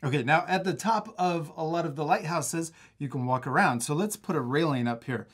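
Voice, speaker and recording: A man's voice: on the high side, husky. A man in his thirties teaching a step-by-step drawing lesson for children, upbeat and encouraging. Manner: upbeat, encouraging, explains slowly. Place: art studio.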